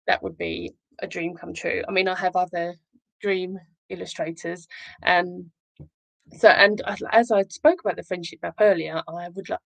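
A woman's voice: lowish voice, vocal fry, no room sound, rough recording. A woman hosting a casual livestream, chatting in a rambling, self-deprecating and effusive way. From Essex, England. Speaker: live show host, children's author.

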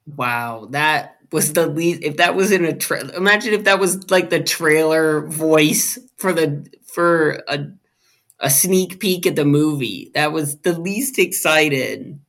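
A man's voice: fairly high pitch, takes it slowly, vocal fry, fairly bright tone. A man in his twenties hosting a podcast, chatting and teasing his co-host about a film. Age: 20s. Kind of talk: casual movie review chat.

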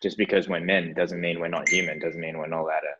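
A man co-hosting a casual podcast, thinking aloud in loose, drawn-out phrases with fillers. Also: low-pitched, little pitch movement, background noise. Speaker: podcast co-host. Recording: dull lo-fi sound.

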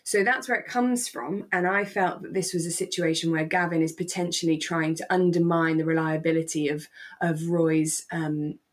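A woman's voice: low-pitched, steady tone, normal speed. A woman co-hosting a podcast, talking casually and reflectively as she explains her take on a radio drama. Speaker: podcast co-host.

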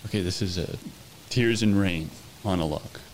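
Young male podcast co-host, very low voice, easygoing and jokey. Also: a little hiss or hum. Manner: laid-back, joking, slow dramatic recitation. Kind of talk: casual chat.

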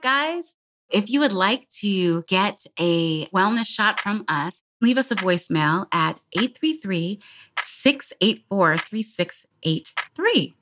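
A woman's voice: mid-range voice, fairly bright tone. An American woman co-hosting a podcast in casual chat, speaking in an earnest, encouraging way. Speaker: podcast co-host.

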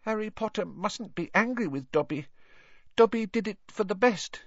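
A man voicing a small, anxious, servile house-elf in an audiobook, with a squeaky, strained voice and a pleading, earnest manner. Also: expressive, moderate pace, dry recording, lo-fi sound. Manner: pleading, servile, refers to self in third person.

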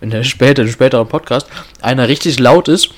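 A man's voice: mid-range voice, brisk. A man co-hosting a podcast in casual chat, rambling as he explains things. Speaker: podcast co-host. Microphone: own home microphone setup.

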